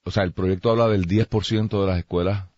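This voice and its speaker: A man's voice: sits low, fairly even intonation, a little fast, darkish tone, no room sound. A man with a Puerto Rican accent giving blunt, emphatic political opinions as a radio host, with dull radio sound.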